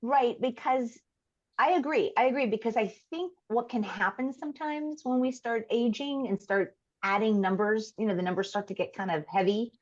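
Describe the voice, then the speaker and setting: A woman in her fifties, a podcast guest chatting casually in an animated, emphatic way. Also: on the high side, highs cut off. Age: 50s. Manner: animated and emphatic, quick to laugh.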